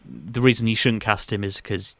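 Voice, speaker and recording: A man's voice: fairly low pitch, moderate pace, vocal fry, dry recording. A man giving his opinions in casual podcast chat, thinking aloud and rephrasing as he goes. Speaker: podcast panellist.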